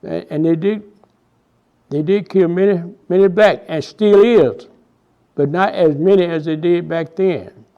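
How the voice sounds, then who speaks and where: Really old texas man, southern accent, super slow, keeps restarting words, kinda muffled lo-fi audio. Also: high voice. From Houston, Texas. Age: 90s. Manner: halting, many repeated words and restarts. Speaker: interviewee, oral history. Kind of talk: reminiscing oral history interview.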